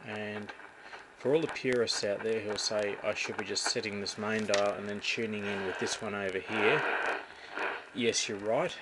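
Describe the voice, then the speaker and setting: A man's voice: on the low side, fairly even intonation, moderate pace. An Australian man casually demonstrating his radio hands-on, talking off the cuff with some hesitation. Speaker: radio hobbyist demonstrating a receiver. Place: indoors at a radio shack bench. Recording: loud shortwave radio static and hiss.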